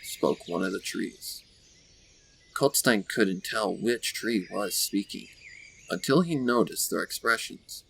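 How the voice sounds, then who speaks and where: A man reading scripted story narration with deliberate, dramatic phrasing. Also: fairly low pitch, creaky, fairly bright tone. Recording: faint background noise with birdsong.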